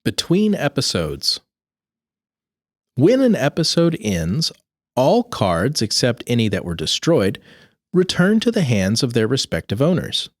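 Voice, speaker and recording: A man reads scripted rules with precise, instructional delivery. His mid-range voice moves up and down in a lively way, and the recording is dry and free of echo. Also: mid-range voice, a little slow. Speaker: co-host reading game rules. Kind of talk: scripted rulebook narration.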